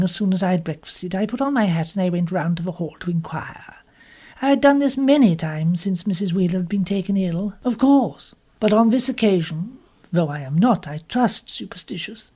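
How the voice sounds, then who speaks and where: A man voicing a prim, gossipy spinster who narrates an audiobook story in fussy, measured asides. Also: high voice, dark tone, breathy edge, heavily acted. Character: prim, gossipy English village spinster telling her story. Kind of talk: first-person audiobook narration.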